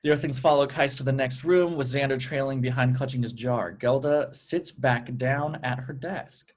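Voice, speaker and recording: Man narrating script stage directions, medium pitch. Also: moderate pace. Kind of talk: scripted narration at a table read.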